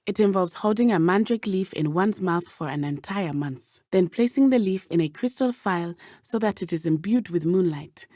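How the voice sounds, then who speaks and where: A young woman playing a brave, principled teenage witch student in a video game, with formal, measured phrasing. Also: lowish voice, mellow, no echo, clean studio sound, natural voice. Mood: earnest, explaining.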